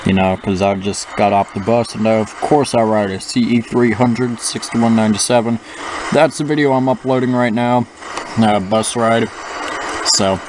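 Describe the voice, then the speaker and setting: A man's voice: fairly low pitch, fairly even intonation, normal speed. A young man chatting casually over gameplay, rambling with lots of fillers and restarts. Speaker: gaming channel host. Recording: noisy with engine sounds from the game. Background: simulated bus engine noise.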